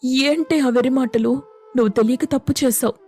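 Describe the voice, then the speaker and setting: A woman's voice: high voice, a little fast, warm tone, husky, faint music behind. A woman playing a devoted, principled village elder sister who became an officer, earnest and reassuring in a moral story. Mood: caring, firm. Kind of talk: dramatised moral story acting.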